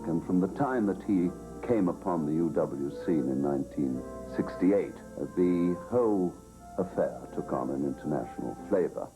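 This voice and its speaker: Very deep gravelly man, formal recollection. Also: animated, normal speed, low-quality recording. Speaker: interviewee. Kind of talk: documentary interview. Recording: documentary with music underneath.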